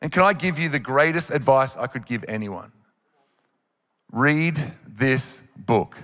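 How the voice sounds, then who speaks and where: An Australian man in his thirties preaches on stage, earnest and encouraging. He speaks into a handheld microphone at an average pitch. His voice rings with the long reverb of a big live auditorium. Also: lively intonation, a little slow, fairly bright tone. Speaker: worship leader preaching. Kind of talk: sermon. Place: large church auditorium on stage.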